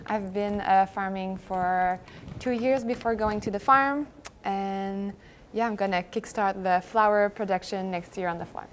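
A woman's voice: medium pitch, fairly even intonation, unhurried, slight background noise. French-accented woman, brief and apologetic. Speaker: farm worker. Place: large indoor hall with audience.

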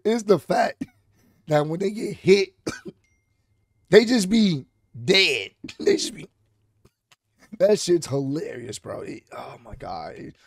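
A man in his thirties with a hoarse voice, hosting a casual reaction video from a home streaming room on a studio mic, chatting easily and breaking into loud laughs and shouts. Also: high-pitched, animated, slow, pauses often, no room sound. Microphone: studio mic on arm, headphones.

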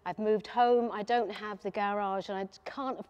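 A woman in her seventies, chatting warmly in an interview inside a car showroom and laughing often. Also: medium pitch, fairly even intonation, moderate pace, smooth, highs cut off. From England. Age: 70s. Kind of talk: casual interview. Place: large car showroom, indoors. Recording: echoey showroom hall.

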